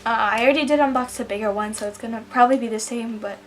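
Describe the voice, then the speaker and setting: A woman's voice: a little high, rapid-fire, a little hiss or hum. A teenage girl vlogging casually from home, chatty, with lots of ums and likes. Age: teens. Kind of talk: casual vlog diary.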